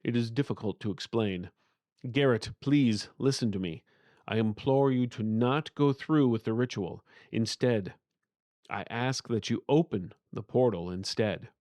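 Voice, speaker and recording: A man's voice: mid-range voice, unhurried, a little mellow, no room sound, studio quality, close to an everyday voice. Man voicing an earnest, pleading trapped spirit uncle. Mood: grave, pleading.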